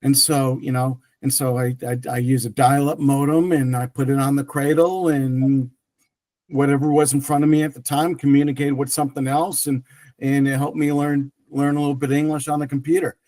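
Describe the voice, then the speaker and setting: A man's voice: mid-range voice, flat delivery, brisk, mellow, no echo, low-quality recording. A middle-aged man whose first language is French, telling his career story as an interview guest with many restarts and fillers. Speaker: guest, tech entrepreneur.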